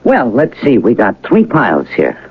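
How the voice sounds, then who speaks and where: A rough-edged, folksy bank robber in an old radio play. The voice is husky and swings widely up and down, deferential and slangy, through a muffled vintage broadcast. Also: male voice, mid-range voice, huge pitch swings, moderate pace, heavily acted. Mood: businesslike, curious. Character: plain-spoken, admiring professional bank robber, rough-edged crook. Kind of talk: radio drama acting.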